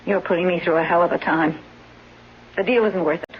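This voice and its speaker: An actress playing a blunt, impatient, sarcastic blonde caregiver in an old-time radio drama. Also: female voice, on the low side, a little fast, breathy edge. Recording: muffled, hissy, humming old radio recording.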